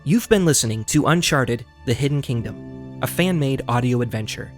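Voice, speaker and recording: A man's voice: medium pitch, expressive, moderate pace. A man reading scripted credits as an announcer for an audio drama. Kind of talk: scripted narration. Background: music.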